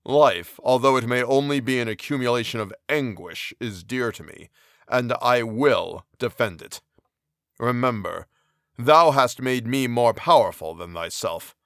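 A man voicing an anguished, towering male creature who speaks slowly and solemnly in an audiobook reading. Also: medium pitch, a bit bright, no room sound, studio quality. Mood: pleading. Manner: slow, heavy, solemn delivery.

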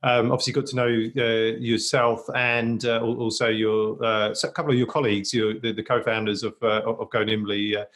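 A man hosting a business podcast, at a middling pitch for a man. He rambles and fills his questions with many ums and false starts. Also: flat delivery, brisk, hardly any pauses, fairly bright tone. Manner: rambling, many fillers and stumbles. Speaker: podcast host. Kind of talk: podcast interview.

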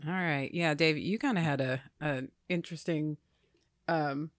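A woman hosting a parenting talk show, upbeat and quick to laugh. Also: sits low, no room sound, studio quality. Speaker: host.

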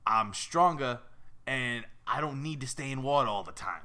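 A man puts on a big talking goldfish for an improvised story, a raspy New Yorker with a bright, forceful edge. The fish is pushy and scheming, always wheedling like a streetwise hustler. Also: mid-range voice, animated, normal speed. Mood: boastful, persuasive. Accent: New York. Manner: streetwise, cajoling, calls the listener kid.

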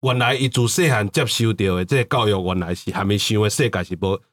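Male podcast host, Taiwanese accent, quick pace, casual and agreeable, slips between Hokkien and Mandarin. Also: lowish voice. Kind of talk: casual chat interview.

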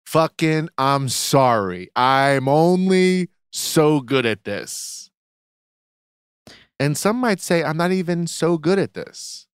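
A man's voice: a little high, animated, smooth, no room sound, studio quality. Man joking in casual banter. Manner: joking, quick, playful. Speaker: podcast co-host.

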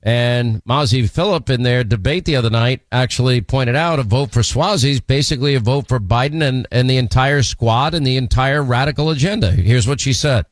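Man, radio host, mid pitch, dry close mic, opinionated. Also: brisk, few pauses, no echo. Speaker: radio talk show host. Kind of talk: political talk radio.

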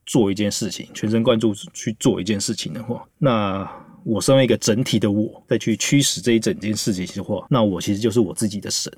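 A man's voice: fairly low pitch, fast talker, few pauses, a little mellow, husky, dry recording, studio quality. A man in his thirties with a Taiwanese Mandarin accent, talking casually and reflectively in an earnest, candid way, explaining at length.

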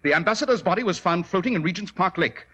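A vintage 1940s radio drama recording of a shrewd, commanding detective. The voice is fairly high and swings widely in pitch, with a forceful edge. The delivery is precise, formal and theatrical. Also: male voice, animated, quick pace, breathy edge, exaggerated voice. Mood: coolly accusing.

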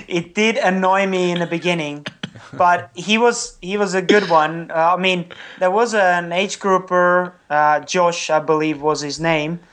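A young man with an Estonian accent, a non-native English speaker, giving candid, good-humoured interview answers as a triathlete and pausing to search for words. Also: high voice, fairly bright tone. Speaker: triathlete guest.

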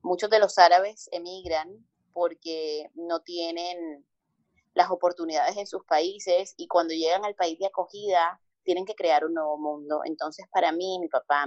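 Brief: Colombian coastal woman, fairly low voice, expressive storyteller, dull dry recording. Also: no room sound. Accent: Colombian Caribbean coast. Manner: expressive, repeats words for emphasis. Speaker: guest, holistic psychologist. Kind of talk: relaxed conversational storytelling.

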